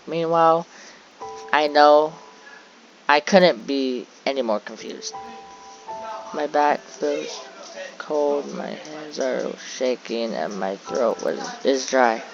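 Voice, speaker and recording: Slow male narrator, dull lo-fi sound. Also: medium pitch, fairly bright tone. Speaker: visual novel reader. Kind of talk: dramatic first-person narration read aloud. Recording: dull lo-fi audio with music behind.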